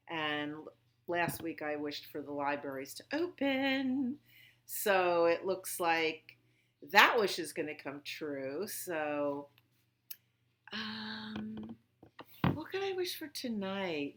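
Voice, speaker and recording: A woman leads a storytime for small children. Her pitch sits a little low for a woman and her pace is very unhurried. She talks in simple, child-directed phrases, leaving gaps and posing questions as she goes. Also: pushed and bright. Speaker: library storytime host. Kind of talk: children's storytime with songs, rhymes and read-aloud.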